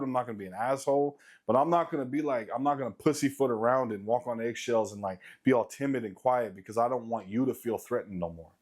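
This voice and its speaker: A man in his late thirties with a deep voice, giving a blunt, profane motivational rant to his viewers as a vlogger. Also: a little mellow. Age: late 30s. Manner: blunt, profane, emphatic repetition. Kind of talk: casual motivational rant to camera.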